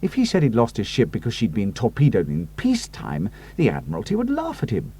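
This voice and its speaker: A man's voice: on the low side, huge pitch swings, brisk, mellow, husky, exaggerated voice. A man doing Algy, an earnest sidekick pilot. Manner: earnest and a little doubtful. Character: loyal British pilot sidekick, cautious and earnest.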